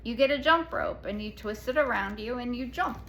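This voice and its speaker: Children's read-aloud storyteller, woman, highish bright voice, expressive, chatty asides, slight noise behind. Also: normal speed, vocal fry. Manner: expressive, explains pictures and asks listeners questions.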